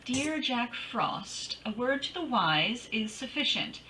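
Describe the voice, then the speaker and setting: A bold female private detective from an old-time radio mystery, played by a woman, pitched high and very animated. She sounds breezy and wry, teasing as she goes. There is clear noise behind her. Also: slow pace, exaggerated voice, poor audio. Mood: wry, curious.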